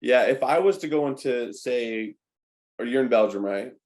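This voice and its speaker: A man's voice: medium pitch, moderate pace, a little mellow. A man hosting an interview in a casual, chatty way as a native English speaker. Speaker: interviewer, host. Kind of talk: casual chat.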